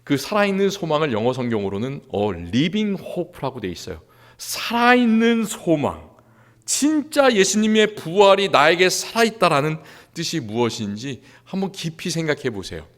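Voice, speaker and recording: A male pastor from Seoul preaching a sermon in a church, earnest, rising to emphasis and dropping quiet again. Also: fairly high pitch, very animated, normal speed. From Seoul, South Korea. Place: church sanctuary.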